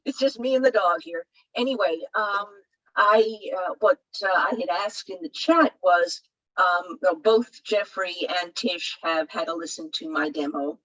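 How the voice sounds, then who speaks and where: Woman, bright tone with a rough husky edge. Tentative, casual audience question from home on a video call. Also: lowish voice, lo-fi sound. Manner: halting, tentative. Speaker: audience member asking a question.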